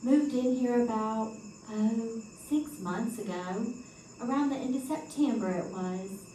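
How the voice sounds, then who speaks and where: A woman's voice: on the high side, a little slow, warm tone, natural voice. A woman playing a plain-spoken small-town landlady in old-time radio drama style, with folksy, rustic phrasing. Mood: folksy, solemn. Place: live stage performance. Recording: roomy live stage, distant mic, faint noise.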